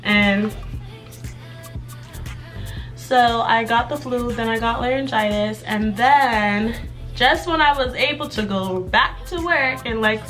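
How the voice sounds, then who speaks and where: A young woman chatting casually in a vlog update, a bit hoarse from laryngitis and rambling while she does her hair in her bedroom. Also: fairly high pitch, a bit bright. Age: young adult. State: slightly hoarse from recent laryngitis. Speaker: vlogger. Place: bedroom with clothes rack, indoors.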